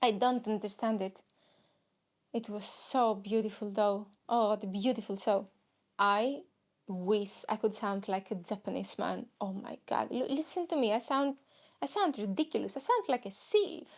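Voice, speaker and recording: A woman's voice: mid-range voice, normal speed. Rambling Greek woman, comic monologue. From Greece. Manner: rambling, self-mocking, jumps into silly voices. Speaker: podcast host.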